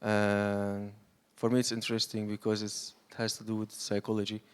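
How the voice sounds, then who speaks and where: A filmmaker from Syria gives an unscripted answer on a panel. His voice is slightly low and quite flat in pitch. He speaks haltingly, searching for the next word. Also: smooth.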